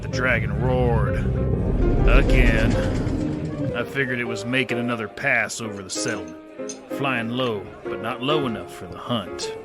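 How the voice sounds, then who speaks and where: Laconic, world-worn gunslinger, low voice and unhurried drawl, played by a man. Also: lightly acted. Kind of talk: first-person narration.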